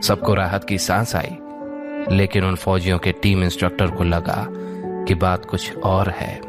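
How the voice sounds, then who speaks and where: A man's voice: sits low, little pitch movement, unhurried, music in the background. A man reading a scripted audio story as its narrator.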